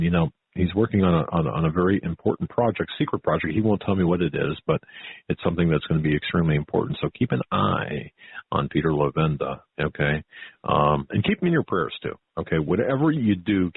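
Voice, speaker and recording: Low husky male host on tinny audio. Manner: halting, repetitive, folksy emphatic delivery. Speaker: talk show host. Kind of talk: conversational radio interview. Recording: phone-like tinny band, roomy echo.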